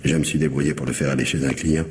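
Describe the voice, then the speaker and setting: An actor playing a smug, lecherous, menacing brother-in-law in a French radio mystery, insinuating, mocking and domineering. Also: male voice, rumbling low, fairly even intonation, fast talker, a little mellow, in a large hall, a little hiss or hum. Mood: insinuating, seductive.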